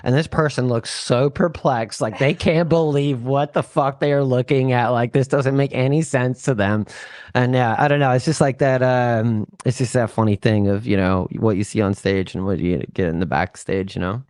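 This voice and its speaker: A man's voice: medium pitch, moderate pace, darkish tone, dry recording, clean studio sound. A young adult man, a touring musician, telling road stories in a loose, rambling, profane way, full of "like" and "you know". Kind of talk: casual storytelling chat.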